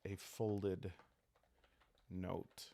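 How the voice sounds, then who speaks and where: A man calmly narrating a solo journaling game, soft-spoken and musing, halting as he improvises aloud. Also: low-pitched, creaky. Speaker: solo roleplayer and show host.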